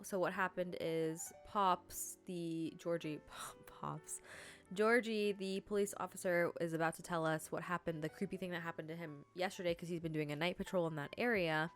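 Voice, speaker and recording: A woman's voice: lowish voice, fairly even intonation, fairly quick, faint music behind. Stuffed-up woman chatting over a game. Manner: chatty and rambling, with many fillers and self-corrections. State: stuffed up from allergies. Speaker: gaming video host. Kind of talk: casual let's-play commentary.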